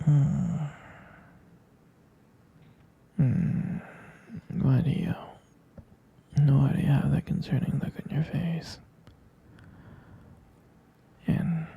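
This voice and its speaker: A very dark, mellow and husky vampire boyfriend , acted out by a man, murmuring tenderly in an intimate ASMR roleplay. He speaks very slowly and gently, in a sleepy half-whisper that hesitates and hums. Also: fairly high pitch, full character voice. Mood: sleepy, coaxing. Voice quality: breathy, husky half-whisper with gravelly fry.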